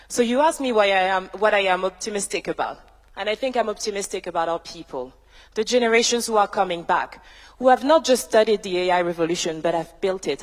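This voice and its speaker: A woman, a tech founder giving a measured, emphatic panel answer built from rhythmic lists. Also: mid-range voice. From France. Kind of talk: prepared keynote-style panel answer. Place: large university hall, on stage. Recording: reverberant large hall.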